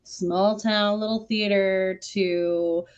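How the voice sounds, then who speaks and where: A woman's voice: mid-range voice, fairly even intonation, very slow, clean tone. Woman from Southern California, informal enthusiastic panelist, mid-range voice, dull lo-fi call sound. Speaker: panel guest, performer and producer. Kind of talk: casual chat on an online panel.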